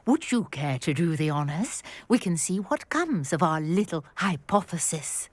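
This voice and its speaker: A woman playing a brisk, wry historian and archaeologist in a video game, articulate and full of curiosity. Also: fairly low pitch, sing-song, dry recording. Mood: enthusiastic, intrigued. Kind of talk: video game character acting.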